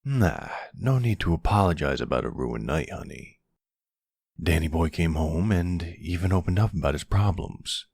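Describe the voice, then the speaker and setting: Man voicing a deep, gravelly, smooth mafia boss. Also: lively intonation, a little mellow, dry recording, clean studio sound, exaggerated voice. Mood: tender, flirtatious. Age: middle-aged.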